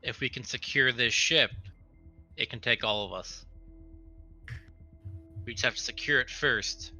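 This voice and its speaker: A man's voice: medium pitch, measured pace, bright, forceful tone, faint music behind. A man playing a tabletop roleplaying game, talking casually as he plans his character's moves.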